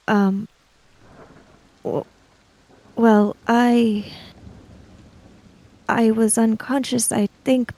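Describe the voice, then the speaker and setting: Halting, guarded samurai woman played by a woman. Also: fairly high pitch, mellow, poor audio. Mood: hesitant, dazed. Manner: halting, formal, hesitant. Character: formal, guarded, unsteady samurai woman in a role-playing game.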